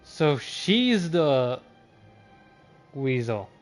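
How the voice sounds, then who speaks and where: A man doing casual, profane live game commentary as a streamer, often sounding confused and reacting out loud. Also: medium pitch, sing-song, drawn-out words, faint music behind. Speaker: video game livestreamer.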